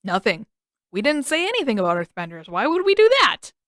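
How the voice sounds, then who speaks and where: A woman's voice: on the high side, very animated, brisk, a bit bright, no room sound, clean studio sound. A woman voicing a sarcastic, exasperated teenage boy in an audiobook story, with emphatic delivery. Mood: nervous bluffing. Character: sarcastic teenage boy, exasperated, nervous big-brother type.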